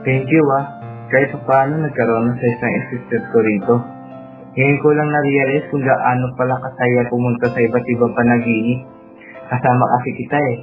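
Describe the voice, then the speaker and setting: A man's voice: mid-range voice, steady tone, a little fast, close to an everyday voice. A man with a Filipino accent playing a tender, affectionate young companion from a dream in a romantic radio drama. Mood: tender, grateful. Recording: tinny band-limited audio, music bed. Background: clear background music.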